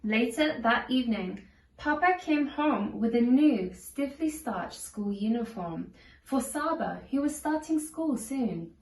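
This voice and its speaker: Echoey, higher-pitched woman reading a storybook. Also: expressive, measured pace. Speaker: storybook narrator. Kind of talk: scripted read-aloud children's story narration. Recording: echoey room, distant from microphone.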